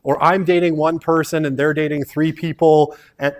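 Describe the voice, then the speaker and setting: A man's voice: on the high side, level tone, moderate pace. Middle-aged guy lecturing a big sociology class in an auditorium, chatty, rambly, keeps saying right?. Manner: rambling and self-deprecating, frequent "right?" tags. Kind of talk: casual university lecture. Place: university auditorium.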